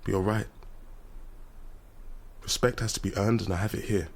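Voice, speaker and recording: A man's voice: lowish voice, steady tone, normal speed, vocal fry. An actor playing a bitter, defiant young man, a proud activist son who speaks in an accusatory, declamatory way in a radio drama. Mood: proud, insistent. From London, England. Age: young adult. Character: defiant, bitter grown son turned Nigerian oil-rights militant.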